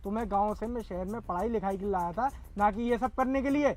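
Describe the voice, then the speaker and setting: A man's voice: high-pitched, fast talker, a little mellow, soft background music, close to an everyday voice. A man acting a stern, suspicious older brother who is a policeman, in an amateur melodramatic skit. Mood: stern, angry. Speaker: actor in a moral-story video.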